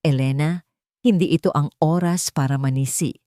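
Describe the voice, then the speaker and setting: A woman's voice: deep voice, moderate pace, warm tone, no echo, close to an everyday voice. A woman storyteller plays an earnest husband in a dramatic audio story. He speaks politely and respectfully, yet firmly. The recording is dry. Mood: firm rebuke. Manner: polite, firm, pleading. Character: earnest, respectful husband mediating between wife and in-law.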